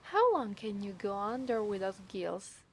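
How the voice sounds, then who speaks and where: A woman with an Italian accent voicing a coy, possessive mermaid in a soft, breathy ASMR roleplay. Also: mid-range voice, very animated, measured pace, noisy background. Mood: playful, coy. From Italy. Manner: coy, teasing, breathy murmurs. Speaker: ASMR voice actress.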